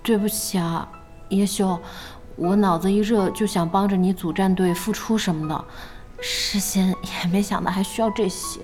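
An actress playing an impulsive, bossy young woman in charge in an audio drama, anxious and eager, with a blunt, expressive delivery. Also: medium pitch, normal speed, soft background music, natural voice. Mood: apologetic. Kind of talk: Chinese audio drama acting.

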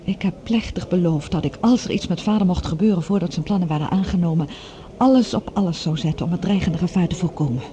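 A woman's voice: fairly low pitch, quick pace, mellow, close to an everyday voice. An actress playing a guarded, resolute woman in grief, speaking with formal composure in radio drama style. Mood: composed, resolute. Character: grieving, guarded, resolute daughter of a scientist.

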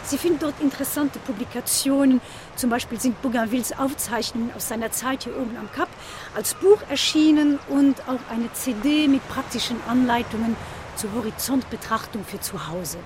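A woman acting as a chatty female tour guide in a radio play, speaking in a high voice. She talks casually and conversationally, as if showing visitors around, over faint ambient noise. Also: moderate pace, close to an everyday voice. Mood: informative, polite. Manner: conversational, informal, anecdotal.

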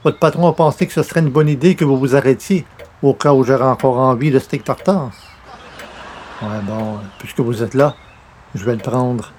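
An actor playing a polite, put-upon newspaper columnist with a Québécois accent, dryly ironic and hesitant, in a radio comedy serial. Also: male voice, medium pitch, normal speed, darkish tone, a little hiss or hum, natural voice. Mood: exasperated, resigned. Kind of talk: comedy radio serial acting in 1940s manner.

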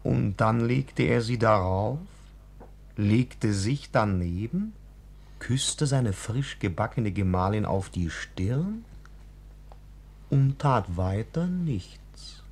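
An actor playing a wry storyteller narrating a bawdy tale in a 1940s radio play. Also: male voice, fairly low pitch, expressive, slow pace, vocal fry, a little mellow, exaggerated voice. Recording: vintage 1940s radio recording.

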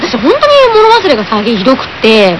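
A woman's voice: fairly high pitch, sing-song, fairly quick, very noisy. A woman, a voice actress guesting on a radio show, chatting casually and friendly.

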